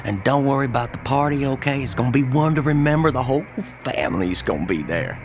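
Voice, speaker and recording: An actor playing a shady, breezily ruthless older brother and political fixer in an audio drama, with a folksy, glib and coarse manner. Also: male voice, mid-range voice, animated, fast, noisy background, close to an everyday voice. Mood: encouraging.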